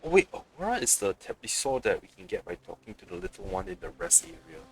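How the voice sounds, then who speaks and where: A man's voice: on the high side, very animated, quick pace, gravelly, a little hiss or hum. A man streaming a video game with casual live commentary, thinking aloud to himself as he plays.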